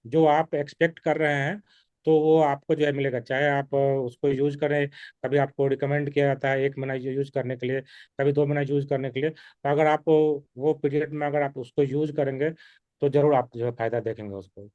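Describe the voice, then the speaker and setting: A man's voice: medium pitch, level tone, brisk, darkish tone, dry recording, dull audio. A man who sells health products, answering a customer's objections hesitantly and with many fillers. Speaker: network marketing distributor.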